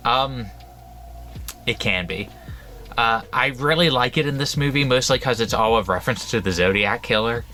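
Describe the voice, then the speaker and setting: A man's voice: medium pitch, moderate pace, bright, forceful tone. A man co-hosting a horror book podcast, chatting casually with many restarts and frequent laughs. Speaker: podcast co-host. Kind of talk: casual chat.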